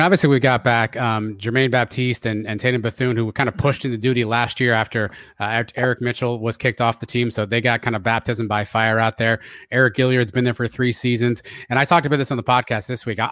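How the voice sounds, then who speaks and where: Raspy, fast-talking American man. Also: mid-range voice, steady tone, a bit bright, no echo, studio quality. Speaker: sports podcast co-host, analyst. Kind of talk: casual sports talk analysis.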